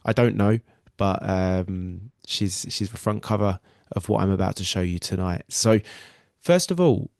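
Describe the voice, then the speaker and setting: A man's voice: low-pitched, a little slow, gravelly, a little mellow, dry recording, clean studio sound. A man with a nasal cold, hosting a live weight loss webinar in an earnest, reassuring way and often repeating himself. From England. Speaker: weight loss coach and podcast host.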